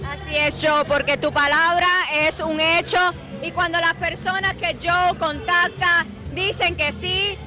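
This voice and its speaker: A woman's voice: very high voice, fairly even intonation, measured pace. A young woman hosting an outdoor event interview, speaking in an effusive, enthusiastic way with music behind her. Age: young adult. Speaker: TV show host and interviewer. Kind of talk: upbeat on-location event interviewing. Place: outdoors at a night park festival. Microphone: handheld microphone.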